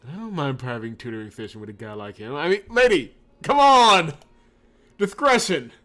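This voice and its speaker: A man hosting a let's play, reading game narration aloud and breaking into excitable commentary. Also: fairly high pitch, moderate pace. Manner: excitable, exclamatory reactions.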